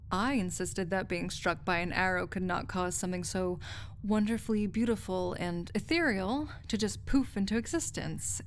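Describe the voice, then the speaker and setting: Woman acting a slow-spoken, lovestruck research assistant. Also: medium pitch, slight background noise. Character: lovestruck female research assistant, tender, wry, contentedly dreamy. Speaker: audio roleplay voice actor. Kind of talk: intimate romantic audio roleplay acting.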